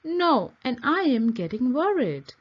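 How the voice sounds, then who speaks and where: A woman's voice: high voice, big pitch swings, unhurried, exaggerated voice. Woman doing a stressed-out dad mechanic, earnest, muffled recording. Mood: worried. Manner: anxious, earnest. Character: worried hardworking father mechanic.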